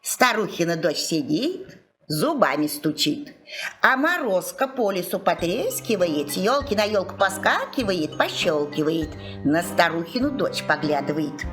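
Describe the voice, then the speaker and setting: A woman storyteller giving an expressive scripted fairy-tale narration. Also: on the low side, a little slow. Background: music.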